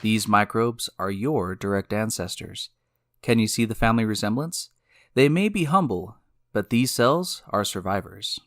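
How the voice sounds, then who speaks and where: A man hosting and narrating a scripted science story in a deliberate, explanatory way, speaking directly to the listener. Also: fairly low pitch, a bit bright, no room sound, clean studio sound. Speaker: podcast host and narrator. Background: music.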